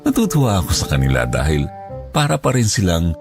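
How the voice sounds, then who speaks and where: Deep filipino guy doing dramatic horror narration, pitch moves around a lot, music underneath. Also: huge pitch swings, a little fast, vocal fry. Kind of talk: scripted first-person horror storytelling. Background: background music.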